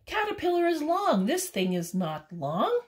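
A small raccoon from a children's storybook, voiced high and bright with big swoops of pitch, as a woman puts it on. It talks slowly and eagerly, and every line is stretched out for young listeners. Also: huge pitch swings, exaggerated voice. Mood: earnest. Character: sad, earnest young raccoon.

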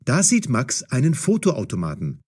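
A man narrating a scripted audio story as storyteller, with a husky voice. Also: medium pitch, huge pitch swings, normal speed, a little mellow.

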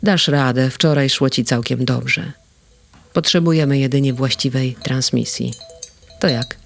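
A reader voicing a gentle, kindly male sandman in a children's audio play, speaking softly and calmly. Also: mid-range voice, measured pace, gravelly, faint music behind, voice pushed down.

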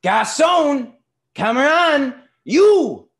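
A man playing a boorish, pompous father, loud, sarcastic and imperious as he bullies waiters in a dramatic story reading. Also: fairly low pitch, big pitch swings, moderate pace, vocal fry. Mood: shouting imperiously.

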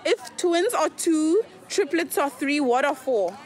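A woman interviewing people on the street, upbeat and quick with her questions and thanks. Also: high-pitched, expressive. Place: outdoors on a street. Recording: street recording with faint noise.

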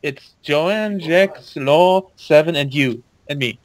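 A man's voice: fairly high pitch, takes it slowly, dry recording. A man chatting casually with friends in a voice call while playing an online game, restarting his sentences often. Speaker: gamer in voice chat.

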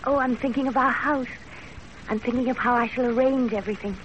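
An actress playing a dutiful young Japanese wife in a 1930s radio drama, plain-spoken and firm. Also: female voice, fairly high pitch, fairly even intonation, a little fast, muffled recording, lightly acted. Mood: gentle. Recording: noisy 1930s radio broadcast.

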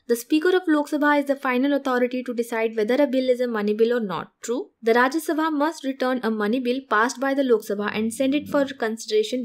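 A woman, going through exam questions like a teacher, reading each statement and then saying whether it is true. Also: on the high side. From India. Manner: methodical, reads each option then rules on it. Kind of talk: explaining exam questions over slides.

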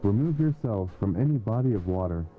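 A man narrating a warning broadcast in a flat, instructional delivery. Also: fairly low pitch, brisk, soft background music. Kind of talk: scripted narration. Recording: tinny phone-like broadcast audio.

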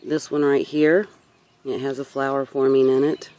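A woman's voice: rumbling low, flat delivery, moderate pace, dark tone. A woman in her thirties giving a casual, rambling vlog-style tour as a gardener, recorded outdoors on a handheld camera. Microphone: handheld camera or phone.

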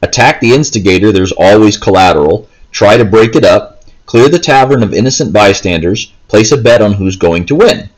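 A man's voice: lowish voice, animated, normal speed, few pauses, rough recording. A man giving casual gameplay commentary, explaining step by step in a rambling way. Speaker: gameplay video host. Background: faint game music.

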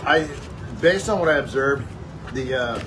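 A man's voice: mid-range voice, lively intonation, unhurried, noisy background. A wordy man reviewing fair food. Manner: wordy, hesitant, many fillers and restarts. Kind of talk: casual chat. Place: small wooden shed, indoors.

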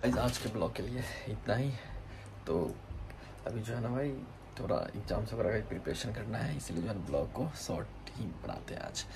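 A man's voice: on the low side, brisk. A man, chatting casually and informally as a student vlogger into a phone, mixing in English words. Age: 20s. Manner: informal, repetitive filler phrases, Hindi mixed with English words. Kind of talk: casual vlog chat. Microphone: phone at arm's length. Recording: noisy street and indoor phone recording.

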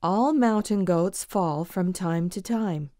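A woman plays a wise old great-grandfather goat in a children's storybook. He speaks kindly and firmly, at a measured, unhurried pace. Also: on the low side, dark tone, no echo, studio quality. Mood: gently advising.

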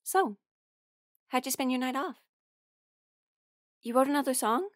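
A woman playing a friendly, teasing young musician in an audio roleplay, speaking in a casual, halting, intimate way. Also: high-pitched, animated, dry recording, studio quality. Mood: playful, casual. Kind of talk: intimate conversational roleplay acting.

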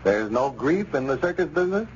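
A male announcer from old-time radio, with a middling pitch that swings in a lively way. The recording is dull, with faint noise underneath. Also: a little fast.

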